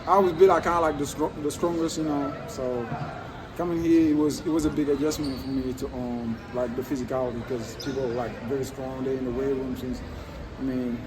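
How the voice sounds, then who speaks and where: Hesitant teen recruit in a noisy gym. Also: male voice, medium pitch, fairly even intonation. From Senegal. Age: teens. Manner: halting, many fillers like um and you know. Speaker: basketball recruit. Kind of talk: casual interview answers.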